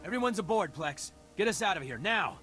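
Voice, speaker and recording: A man's voice: high voice, expressive, brisk. An actor playing an earnest male ship's officer in a scripted space opera video game. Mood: urgent. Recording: game dialogue with loud background music. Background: music.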